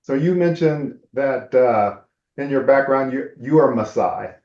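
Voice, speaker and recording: A man's voice: mid-range voice, unhurried, darkish tone. An American man with an American accent, hosting a casual conversational interview. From United States. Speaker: interview host. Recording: roomy, echoey, slightly dull audio.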